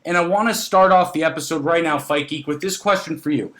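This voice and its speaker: A man with a fairly high voice works as a podcast host. He talks about sports in a casual, chatty way, with an enthusiastic manner and a habit of repeating phrases for emphasis. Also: a little fast, a bit bright.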